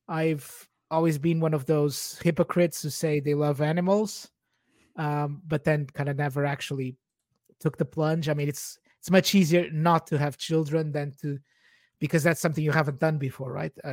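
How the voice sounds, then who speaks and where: A man's voice: fairly high pitch, level tone, normal speed, darkish tone, no echo. A man in his forties from Portugal, a native Portuguese speaker, chatting informally and in a rambling way as a podcast guest. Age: 40s. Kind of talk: casual personal chat.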